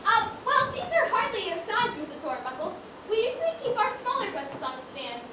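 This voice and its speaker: A woman's voice: very high-pitched, wildly swooping pitch, brisk, heavily acted. A woman on stage playing a flustered, polite widow who keeps a dress shop in an amateur comedy. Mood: flustered politeness. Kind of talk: amateur one-act stage comedy acting. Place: stage in a large echoey room. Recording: echoey stage, noisy, far-off mic.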